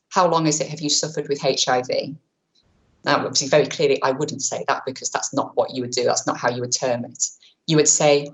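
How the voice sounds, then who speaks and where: Woman, low register, webinar presenter; brisk, chatty, self-correcting delivery on a webcam mic. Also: rough recording. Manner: quick, chatty, self-correcting, many fillers; says she has a powerful voice. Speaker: webinar presenter on vulnerable clients. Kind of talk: online training talk.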